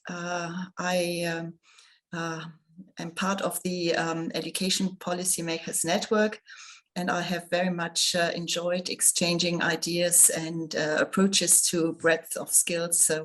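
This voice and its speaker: A woman from Germany moderating an online roundtable on a video call, speaking hesitantly with many fillers. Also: on the low side.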